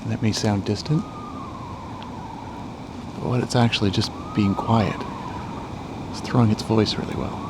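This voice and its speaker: Low-voiced guy whispering-ish out in the woods at dusk, hissy field recording, sirens way off. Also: steady tone, measured pace, long pauses. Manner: quiet, low-key, searching. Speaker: birder making a field recording. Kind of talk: hushed running commentary. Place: outdoors in woods at twilight. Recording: noisy outdoor field recording, distant sirens.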